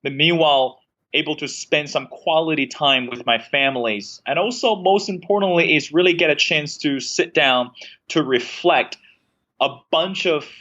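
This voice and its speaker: Bright-voiced man from Beijing, muffled line. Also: fairly high pitch, normal speed. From Beijing, China. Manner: upbeat, thoughtful. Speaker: guest, former journalist. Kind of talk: interview answers.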